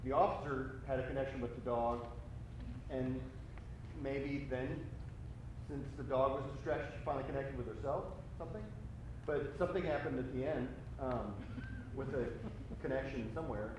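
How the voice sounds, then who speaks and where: A man in an audience giving an off-the-cuff comment, thinking aloud haltingly. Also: mid-range voice, muffled recording. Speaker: audience member. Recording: very echoey room, distant mic, noisy.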